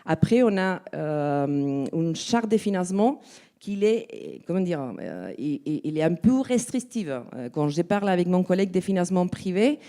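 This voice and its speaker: Low, mellow-voiced woman with a foreign accent. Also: sing-song. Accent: non-native French accent. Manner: halting, searching for words, many restarts. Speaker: NGO representative on a panel. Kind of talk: spontaneous panel talk. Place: conference room.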